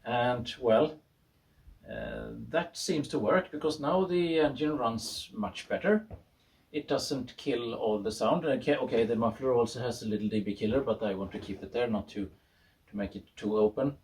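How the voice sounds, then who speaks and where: A man's voice: mid-range voice, normal speed, a little mellow. A man presenting his own garage experiment in informal talk, thinking aloud hesitantly with a self-deprecating, amused touch. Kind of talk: informal explanatory video talk. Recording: voice far from the mic.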